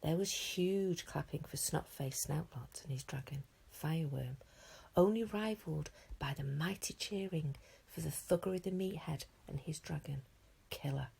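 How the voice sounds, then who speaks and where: A woman teacher reads a children's chapter book aloud with lively storytelling. Her voice sits on the low side, husky with a gravelly edge. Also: normal speed. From England. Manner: expressive storytelling.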